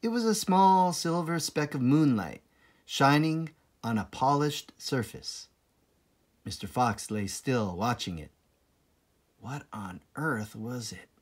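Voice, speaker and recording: Slow, animated man reading a storybook. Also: medium pitch. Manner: dramatic, deliberate with suspenseful pauses. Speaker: read-aloud narrator. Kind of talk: expressive children's storybook reading.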